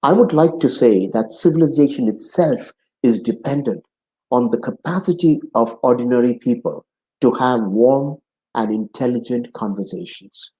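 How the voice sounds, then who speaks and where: A man in his sixties hosting a conversation show, speaking in an earnest, measured way from his home office. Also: medium pitch, warm tone, low-quality recording. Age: 60s. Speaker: host. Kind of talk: hosted conversation opening monologue.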